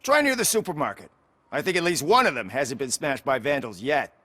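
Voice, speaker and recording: A man's voice: fairly high pitch, animated, a little fast, bright, forceful tone, slight background noise. A man playing a plain-spoken, streetwise character in a crime video game, insistent and matter-of-fact.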